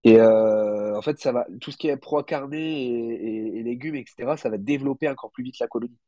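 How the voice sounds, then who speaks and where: Un homme légèrement enrhumé qui explique l'élevage de cloportes en direct, sur un ton décontracté, avec beaucoup d'hésitations et de mots cherchés. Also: male voice, mid-range voice, a little fast, warm tone, no echo, highs cut off. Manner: hesitant, many fillers, searches for words. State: slightly congested from a cold. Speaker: guest isopod breeder. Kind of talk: casual livestream explanation.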